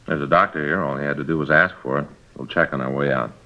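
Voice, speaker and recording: An actor playing a brisk, easygoing detective partner in a 1950s radio drama, talking in a practical, colloquial way. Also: male voice, very deep voice. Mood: dry, firm. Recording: dull vintage radio recording.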